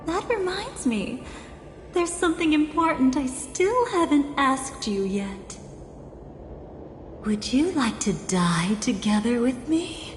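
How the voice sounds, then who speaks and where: A woman's voice: high-pitched, lively intonation, slow. Mysterious young game gal, teasing n composed, takes her time, echoey w music behind. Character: playful, enigmatic young woman, calm thrill-seeker. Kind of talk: video game voice acting.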